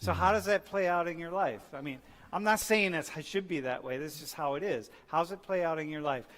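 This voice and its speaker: A man's voice: on the high side, fairly quick, fairly bright tone, in a large hall. A man interviewing young guests, probing and rephrasing his questions in a casual way.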